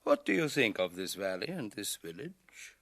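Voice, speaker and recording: A man's voice: lowish voice, sing-song, normal speed, vocal fry, a bit bright, exaggerated voice. An actor playing a proud, solemn village headman in a radio drama, declaiming and challenging with rhetorical questions. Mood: solemn, reverent. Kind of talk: 1980 radio drama acting.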